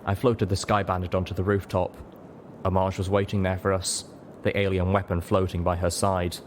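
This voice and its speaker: A man's voice: sits low, level tone, normal speed, a little hiss or hum. A man reading an audiobook, giving scripted fiction a dramatic narration. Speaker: audiobook reader.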